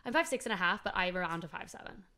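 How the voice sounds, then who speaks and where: A young woman from Boston hosting a casual chat podcast, bubbly and quick to laugh and react. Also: lowish voice, lively intonation, sharp tone. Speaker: podcast host.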